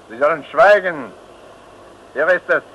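An actor in an old radio serial playing a shady driver who gives terse orders. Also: male voice, fairly high pitch, animated, a little slow, fairly bright tone. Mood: gruff, urgent. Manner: terse commands. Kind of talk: 1960s comic radio serial acting. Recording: noisy old radio recording.

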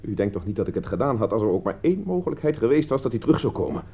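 A man's voice: lowish voice, sing-song, husky, heavily acted. A man acting an earnest, remorseful murder suspect who insists on taking the blame in a Dutch radio play. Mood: anguished. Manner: hurried, earnest, confessing. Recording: dull, lo-fi radio play recording.

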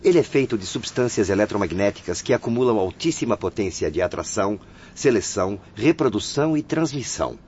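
An actor playing a solemn, patient spirit guide in a Brazilian radio drama, with a formal, didactic delivery. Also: male voice, on the low side, very animated, brisk, darkish tone, slight background noise. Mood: instructive. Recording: dull lo-fi radio drama audio with music.

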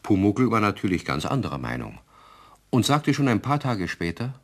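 A man narrating a children's radio play as a genial, expressive storyteller. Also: lowish voice, normal speed. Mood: amused, genial.

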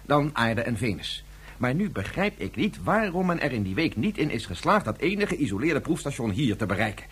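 An actor playing a flustered, impatient professor in a radio drama, husky and stammering. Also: male voice, medium pitch, big pitch swings, a little fast, fairly bright tone, heavily acted. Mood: exasperated, reasoning. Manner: hesitant, stammering, repeats himself. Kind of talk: Dutch radio drama acting.

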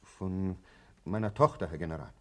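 A man in a German radio drama playing a deferential, haunted middle-aged barber and executioner who speaks haltingly and repeats himself. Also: sits low, darkish tone, close to an everyday voice. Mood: obedient, earnest. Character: servile, haunted barber and executioner, rambling simple soldier type.

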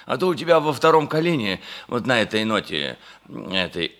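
An actor playing an excitable, domineering army major with musical pretensions in a 1960s Soviet radio comedy, husky and emphatic. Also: male voice, mid-range voice, moderate pace. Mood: fussy, instructing. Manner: emphatic, animated, sings wordless tunes. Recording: vintage 1960s radio play recording.

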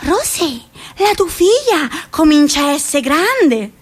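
A woman's voice: sits high, very animated, slow pace, full character voice. A woman voicing a gossipy country villager bringing news, chatty and colloquial, with a Roman accent, in a radio play. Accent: central Italian, Roman.

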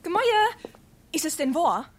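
Excitable gossip, Darmstadt Hessian, stage comedy, fairly high pitch, earnest, credulous. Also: female voice, moderate pace. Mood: alarmed, gossipy. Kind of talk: dialect stage comedy acting.

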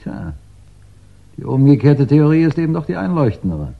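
An actor playing a thoughtful, doubting police chief in a 1960s German radio play, speaking deliberately. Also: male voice, a little high, sing-song, gravelly, exaggerated voice. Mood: pensive. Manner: deliberate, pensive, hesitant. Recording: dull 1960s radio recording.

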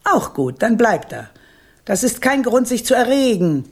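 A woman's voice: fairly high pitch, sing-song, unhurried, darkish tone, breathy edge, full character voice. An actress playing an imperious old witch grandmother in a radio play, haughty, biting and sardonic. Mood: haughty menace. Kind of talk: German radio play acting.

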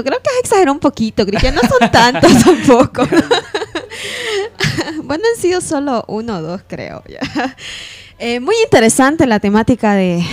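Mid-pitched woman talking hesitantly on radio. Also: darkish tone. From provincial town. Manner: hesitant, frequent "¿no?" tags. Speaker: psychologist and radio announcer. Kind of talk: informal radio commentary.

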